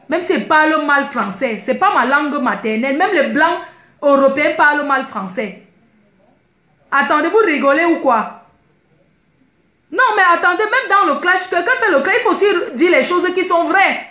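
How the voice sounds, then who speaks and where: A woman's voice: high-pitched, fairly bright tone. A woman ranting indignantly to her viewers, emphatic and repeating herself. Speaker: online video commentator. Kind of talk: heated personal rant to viewers. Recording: echoey room.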